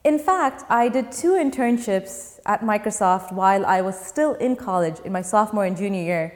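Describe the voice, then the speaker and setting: A woman's voice: mid-range voice, expressive, moderate pace, a little mellow. A young woman from Dubai, a startup founder, answering interview questions in an earnest, step-by-step way. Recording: long reverb.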